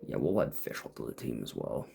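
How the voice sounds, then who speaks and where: A man's voice: a bass voice, big pitch swings, a little fast, creaky, dark tone, slight background noise. A man giving casual, off-the-cuff gameplay commentary, muttering and thinking aloud to himself as he plays. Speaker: gamer playing and commenting.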